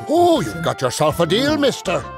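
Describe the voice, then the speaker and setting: A woman's voice: on the low side, wildly swooping pitch, moderate pace, over music. A woman voicing a jovial, drawling merchant in a fantasy audio drama.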